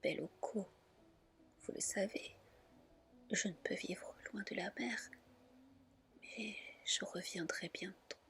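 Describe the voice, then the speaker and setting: A woman's voice: medium pitch, slow, creaky, long pauses, fairly bright tone. A woman voicing a noble male dragon lord of the sea in a whispered storybook reading, formal and tender. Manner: formal, solemn, tender address. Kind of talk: whispered ASMR storybook dialogue.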